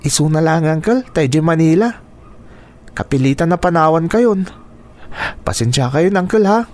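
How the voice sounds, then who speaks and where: Male actor as young nephew in a radio drama, slightly high voice, earnest and caring, respectful to his elder. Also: animated, normal speed, a little mellow, lightly acted. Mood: earnest, concerned.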